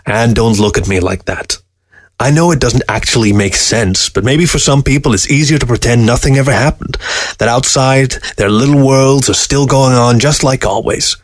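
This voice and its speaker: A man's voice: mid-range voice, moderate pace, no echo, natural voice. A man voicing a tired, irritable old male taxidermist in a spoken story. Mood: defensive. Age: older. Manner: exasperated, defensive.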